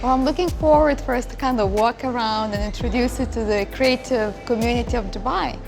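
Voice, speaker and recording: A woman, speaking in a measured, earnest way as an art hub director being interviewed. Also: fairly high pitch, gravelly. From Lithuania. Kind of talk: interview, promotional documentary. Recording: music behind the voice. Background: background music.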